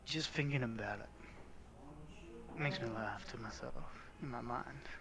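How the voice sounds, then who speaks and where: A man reading a visual novel aloud as a streamer, often slow and hushed, with chatty asides. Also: medium pitch, gravelly, crowd noise. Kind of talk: casual playthrough read-aloud with chatty asides.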